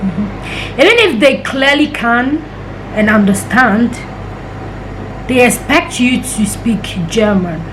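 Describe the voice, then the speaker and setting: A woman's voice: fairly high pitch, slow, pauses often, noisy background. A young woman from Ghana, chatting and rambling through stories to her camera. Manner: chatty, rambling storytelling with acted-out quotes. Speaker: vlogger. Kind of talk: casual chat to camera.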